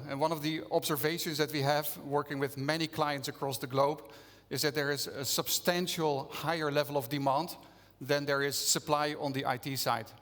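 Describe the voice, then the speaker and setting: A man's voice: on the high side, fairly bright tone. A man from the Netherlands with a Dutch accent, giving a conversational conference talk and putting questions to the audience. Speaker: conference speaker. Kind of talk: conference keynote presentation. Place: conference venue stage. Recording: long reverb of a conference hall.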